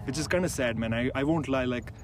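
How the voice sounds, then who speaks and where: A man's voice: mid-range voice, fast talker, a crowd in the background. A young man from Mumbai with an Indian accent, chatting casually as a guest, rambling with lots of "dude" and "like". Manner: rambling, says "dude" and "like" often, hesitant restarts. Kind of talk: casual conversation.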